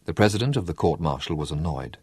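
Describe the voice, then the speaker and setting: A man narrating a novel as an audiobook, in a measured, expressive storytelling manner. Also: very deep voice, husky, dry recording. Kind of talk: scripted narration.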